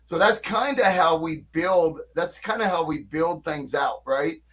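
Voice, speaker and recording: A man's voice: on the high side, a little slow, fairly bright tone. An older man who runs a marketing agency, talking casually and emphatically in an interview, often checking in with "right?". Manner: talkative, emphatic, frequent "right?" check-ins.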